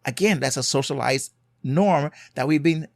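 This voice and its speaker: A man's voice: fairly high pitch, moderate pace, vocal fry, no echo, clean studio sound. A man with a Jamaican accent hosting a solo podcast, giving earnest marriage advice, stressing key points by repeating them and checking in with "right?".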